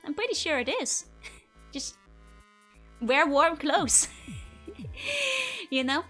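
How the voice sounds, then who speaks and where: Dutch-accented woman streamer, giggly, rambling, higher voice, faint music behind. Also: animated, slow pace, a bit bright, low-quality recording. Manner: giggly, rambling, many fillers. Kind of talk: casual chat to live viewers.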